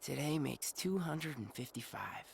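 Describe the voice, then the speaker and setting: A man's voice: medium pitch, animated, unhurried, vocal fry, a little hiss or hum. An actor playing an earnest, slightly moody teenage boy in a video game cutscene, casual and youthful. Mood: matter-of-fact, wistful. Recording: game music behind the voice.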